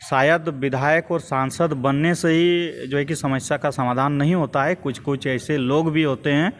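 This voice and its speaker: A young man with a Jharkhand Hindi accent speaks in a fairly high voice, with some background noise. He gives measured, hesitant interview answers as a grassroots political activist. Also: fairly even intonation. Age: young adult. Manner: hesitant, measured, repetitive phrasing.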